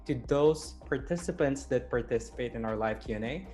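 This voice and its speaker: A man's voice: mid-range voice, brisk, darkish tone. A man hosting an interview show, introducing the guest in an upbeat, promotional way. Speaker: podcast host.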